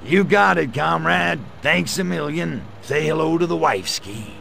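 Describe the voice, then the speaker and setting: An actor playing a cocky, wisecracking male alien invader with a gravelly, slangy drawl in a video game. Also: on the high side, normal speed, fairly bright tone, a little hiss or hum. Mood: sly. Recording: game audio with faint music.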